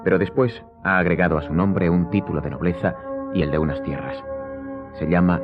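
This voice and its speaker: A man playing a formal accuser who deliberately reads out a denunciation in a radio drama. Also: low-pitched, fairly even intonation, close to an everyday voice. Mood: grave, accusing. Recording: thin phone-like sound under loud music.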